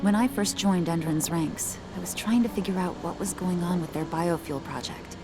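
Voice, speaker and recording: Woman as a low-voiced, determined rebel infiltrator. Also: normal speed, music in the background, lightly acted. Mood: grave. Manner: serious, purposeful. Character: earnest, determined female rebel infiltrator and analyst. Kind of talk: video game cutscene acting.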